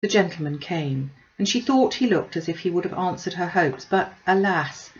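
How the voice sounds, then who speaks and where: A woman reading a classic novel aloud as an audiobook narrator, in an expressive storytelling style. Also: fairly low pitch, normal speed, dull audio. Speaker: audiobook reader.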